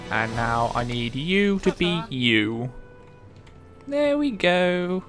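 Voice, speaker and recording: A man's voice: on the high side, very animated, slow, fairly bright tone. A man gives casual let's play commentary. He takes his time as he talks, with some game music playing behind him. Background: video game music.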